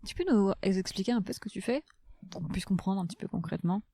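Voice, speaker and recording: A woman's voice: medium pitch, fast, dark tone, no room sound. A woman hosting a podcast, moving between a scripted introduction and casual interview talk.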